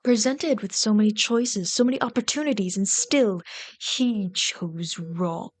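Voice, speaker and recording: Slow, brooding villainess, acted by a woman. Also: medium pitch, dry recording, natural voice. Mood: scornful, impassioned. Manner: brooding, dramatic, slipping into breathy whispers. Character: bitter, yearning female villain nursing a captured sidekick. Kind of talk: intimate ASMR audio roleplay.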